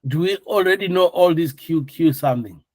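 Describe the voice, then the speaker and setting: A male instructor with a slightly high voice, recorded fairly dry. He lectures in an informal, explanatory way and keeps putting questions to his students to check they follow. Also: brisk, a little mellow, no echo.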